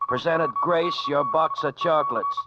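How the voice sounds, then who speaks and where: An actor playing a radio operator relaying a ship's telegram in clipped declamation, in an old-time radio drama. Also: male voice, fairly high pitch, wildly swooping pitch, moderate pace, heavy background noise. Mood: brisk, official. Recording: muffled old radio recording, loud effects behind.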